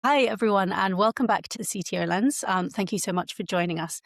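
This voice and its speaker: A woman co-hosting a tech podcast, talking in a friendly, upbeat and lively way. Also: mid-range voice, quick pace. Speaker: podcast co-host. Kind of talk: casual podcast chat.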